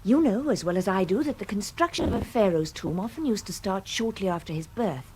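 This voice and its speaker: An actress plays a clever, assured lady in a radio play. Her voice is lively and swooping as she lectures with confidence. There is noticeable noise behind her. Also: medium pitch, fairly quick, natural voice, low-quality recording. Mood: confident, insistent. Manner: insistent, didactic, confident. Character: assured, clever lady explaining tomb mysteries. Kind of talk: radio drama acting.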